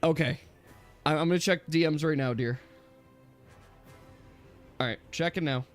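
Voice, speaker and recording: A man's voice: fairly high pitch, normal speed. A man acting as game master in a casual tabletop game, explaining rules step by step. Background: background music.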